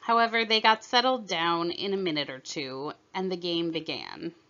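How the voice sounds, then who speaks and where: A woman's voice: mid-range voice, bright, forceful tone, dull audio. A woman storyteller reading a scripted children's narration aloud.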